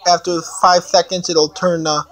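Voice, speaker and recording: A woman giving a casual product demonstration, haltingly thinking aloud as she reads out menu items. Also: on the low side, little pitch movement, poor audio. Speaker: amateur product reviewer.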